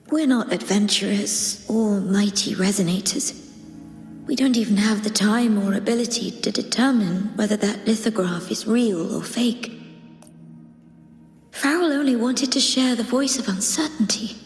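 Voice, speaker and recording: A dignified poet awaiting her fate in a fantasy game. She is solemn and unhurried, with a medium-pitched voice. Reverb rings around her words, with faint music beneath. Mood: understanding, sober. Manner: solemn, composed. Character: resigned, dignified condemned female poet. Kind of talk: video game voice acting.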